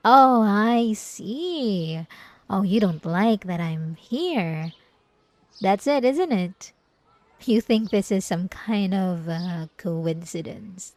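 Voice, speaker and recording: A woman acts out a clingy, gaslighting yandere ex-girlfriend in an intimate audio roleplay. Her voice sits fairly high and swings widely in pitch, lingering slowly over words. She is coy and falsely innocent, sweet on the surface and menacing underneath. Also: a little mellow, no echo. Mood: knowing, taunting. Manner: coy, teasing, mock-innocent, drawn-out pet names. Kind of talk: ASMR roleplay acting addressed to the listener.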